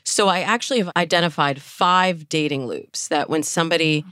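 A woman's voice: low-pitched, normal speed, fairly bright tone, dry recording, studio quality. A woman speaking as a guest dating coach in a podcast interview, explaining advice and acting out imagined inner thoughts as examples.

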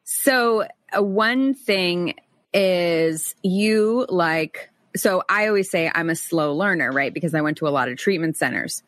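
A woman hosting a casual interview, teasing and relaxed as she asks her questions. Her voice sits a little low for a woman. The sound is dry and close. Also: measured pace, no room sound. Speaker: podcast host.

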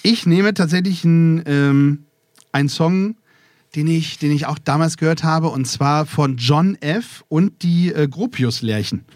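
A German man hosts a live podcast, cracking jokes and wandering off into tangents. His voice sits a little high. The sound is dry and close to the mic. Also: unhurried, darkish tone, no room sound. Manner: playful, rambling, drifting off topic. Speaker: podcast host. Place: festival recording room with a live audience.

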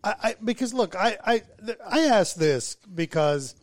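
Man in his forties, podcast host, slightly higher voice, rambling friendly questions, dry close sound. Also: lively intonation, moderate pace, no echo. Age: 40s. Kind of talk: casual interview chat.

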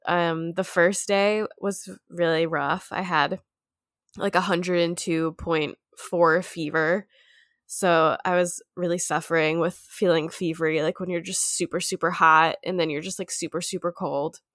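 A young woman from the United States with a congested, nasal voice, short of breath, talking slowly and quietly in a casual chat. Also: lowish voice, steady tone, dry recording, studio quality. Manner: talks slower and quieter, winded. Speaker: sick friend.

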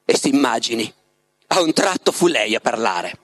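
A man's voice: medium pitch, sing-song, moderate pace, a bit bright, dry recording, faint music behind, voice pushed down. A man voicing a lovestruck, tormented writer confiding his story in a dramatic literary reading, turning to his listener with rhetorical questions. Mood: wistful, confiding. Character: lovestruck, tormented male writer in a psychiatric clinic.

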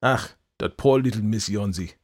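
A man voicing a fierce old painter with a put-on German accent, shouting in broken English. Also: fairly low pitch, moderate pace, husky. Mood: scornful. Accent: German-sounding put-on.

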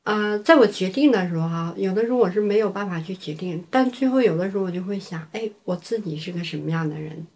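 A woman artist from China talking unscripted in an interview, halting and repeating herself as she thinks aloud. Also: mid-range voice, darkish tone.

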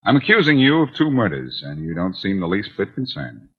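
Cocky old-time radio detective, very low and swoopy, wry and teasing, muffled 1940s recording. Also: male voice, sing-song, husky, full character voice. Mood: cool, accusing. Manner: wry, taunting, unhurried. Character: smooth, cocky amateur detective, bantering and self-assured.

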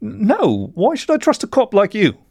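A man's voice: fairly high pitch, very animated, fairly quick, no room sound, voice pushed up. A man voicing a nervous, tearful mole drug runner in a story, stammering and pleading. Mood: distraught, tearful. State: sobbing.

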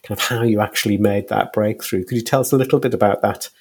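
A man's voice: on the low side, steady tone, fast talker, slightly rough. A man hosting a podcast interview, asking long, discursive questions in a conversational, hedging way. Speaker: podcast host.

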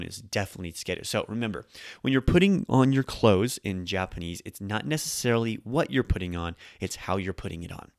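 A man's voice: on the low side, no echo, studio quality. A man hosting a casual Japanese lesson, explaining in an upbeat, conversational way. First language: English. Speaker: podcast host teaching Japanese.

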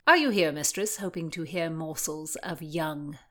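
A woman's voice: sits low, moderate pace, pushed and bright. A woman voicing a brash, teasing young earl in an audiobook, declaiming melodramatic courtly speech. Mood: boastful. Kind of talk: audiobook character acting.

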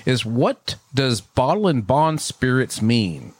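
A man's voice: mid-range voice, big pitch swings, slow, no room sound. A man hosting a casual podcast, joking and teasing with his co-host. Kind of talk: casual chat.

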